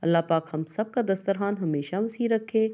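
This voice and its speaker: A woman's voice: mid-range voice, moderate pace, dark tone. A woman hosting a cooking show, narrating a recipe step by step and instructing viewers directly.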